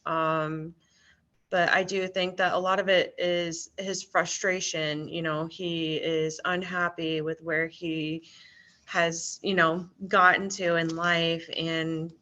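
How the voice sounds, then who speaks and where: A woman's voice: on the low side, little pitch movement, takes it slowly, pushed and bright, lo-fi sound. A woman care worker talking hesitantly about a resident in a case discussion over a video call. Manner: hesitant, many fillers. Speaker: care staff member.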